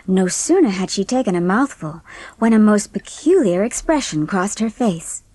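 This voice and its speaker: A woman's voice: medium pitch, lively intonation, moderate pace, warm tone. A woman reading scripted storybook narration as the narrator of a dramatised audiobook.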